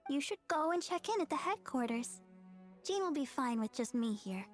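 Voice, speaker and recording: A sweet, devoted young woman in an anime game. The voice is high and gentle, with an earnest way of talking over light music. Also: lively intonation, moderate pace. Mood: firm, innocent. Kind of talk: English anime game voice acting.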